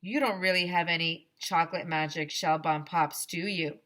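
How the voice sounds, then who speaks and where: A woman's voice: fairly low pitch, fairly even intonation, moderate pace, fairly bright tone, natural voice. A woman voicing an impatient schoolchild in a storybook, pleading and insistent. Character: impatient schoolchild craving ice cream.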